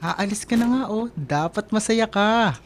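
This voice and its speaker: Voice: mid-range voice, lively intonation, measured pace, slight background noise, close to an everyday voice. Someone acting a college guy best friend in a radio drama, teasing n jokey, lots of exclaiming, midrange voice. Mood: teasing, upbeat.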